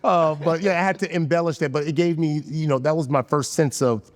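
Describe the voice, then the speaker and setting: A man's voice: a little high, steady tone, fairly quick, long reverb tail. A man co-hosting a casual talk show, telling stories animatedly and acting out what other people said. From United States. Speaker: podcast co-host. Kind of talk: casual chat.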